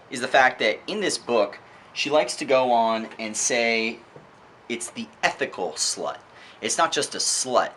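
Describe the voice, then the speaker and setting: A man's voice: lowish voice, a bit bright, a little hiss or hum. A man giving an informal, opinionated book review monologue in a conversational, emphatic way, repeating words for effect.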